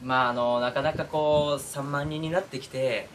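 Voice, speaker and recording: A man hosting his own channel, talking casually to his followers with drawn-out hesitations and asides that seek agreement. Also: a little high, fairly even intonation, background noise. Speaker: online voice-drama creator and channel host. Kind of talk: casual monologue to camera, addressing followers.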